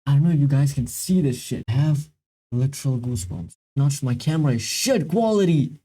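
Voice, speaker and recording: A man's voice: mid-range voice, lively intonation, brisk, mellow. A man hosting a reaction video, talking casually and emphatically, repeating himself and swearing freely.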